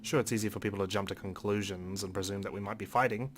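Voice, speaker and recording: A man's voice: fairly low pitch, steady tone, fast. A man hosting a let's play, reading visual novel narration aloud expressively with wry asides, over faint game music.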